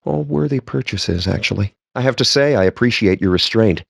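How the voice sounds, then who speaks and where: A man's voice: fairly low pitch, lively intonation, fairly quick, vocal fry, breathy edge, no room sound, clean studio sound, heavily acted. A man voicing a wry, pompous, foul-mouthed gamer geek in video game dialogue, with a sardonic, wordy and theatrical delivery. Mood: smug, relaxed.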